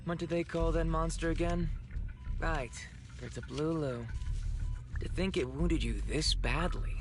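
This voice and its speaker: A coolly condescending game villain played by a man speaks in a lighter, higher male register, drawing out each taunt with theatrical relish. The pacing is unhurried, and loud music plays underneath. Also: lightly acted. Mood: cool contempt. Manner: taunting, drawn-out, theatrical delivery. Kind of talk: scripted video game voice acting.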